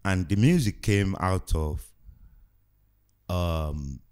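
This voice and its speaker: A man in his sixties from Nigeria, a guest musician talking slowly and reflectively with many pauses and fillers in a casual podcast interview. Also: low voice, darkish tone. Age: 60s.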